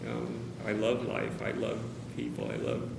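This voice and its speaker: A man speaking candidly to a meeting of caregivers, hesitant, with many pauses and fillers. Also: on the low side, very animated, creaky. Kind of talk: personal talk to a small group. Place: meeting room with a group of about 45 people. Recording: roomy, noisy meeting-room recording.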